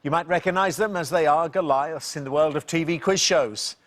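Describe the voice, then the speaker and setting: A man's voice: fairly high pitch, a bit bright. Jovial man hosting a TV quiz. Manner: jovial, reads questions deliberately, chuckles at his own puns. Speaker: quiz show host. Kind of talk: TV quiz hosting, scripted intro and banter.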